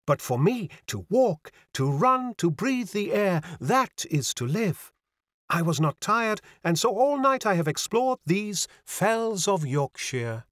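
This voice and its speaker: Man as a spirited young French lady, dreamy and theatrical, mincing accent, high lilting pitch, storybook reading. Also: big pitch swings, takes it slowly, fairly bright tone, dry recording, clean studio sound, exaggerated voice. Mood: exuberant, dreamy. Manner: mincing, exclaiming, poetic.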